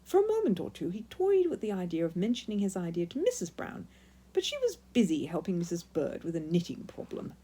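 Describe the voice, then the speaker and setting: A woman narrating a children's story in an expressive, emphatic read-aloud style. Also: lowish voice, brisk, slightly rough.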